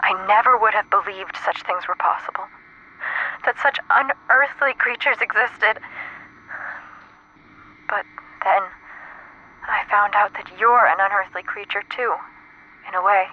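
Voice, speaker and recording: An actress playing a frightened, devoted woman in a retro radio drama, speaking haltingly through an old telephone filter. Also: medium pitch, bright, forceful tone. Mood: distressed. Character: frightened, devoted woman, a sweetheart trapped under alien occupation. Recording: compressed narrow-band line with static noise.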